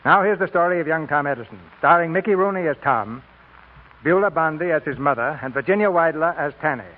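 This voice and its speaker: An American man hosting and narrating a scripted 1940s radio theater show in a formal, measured way. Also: on the high side, animated, phone-quality sound. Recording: thin old radio broadcast, music behind.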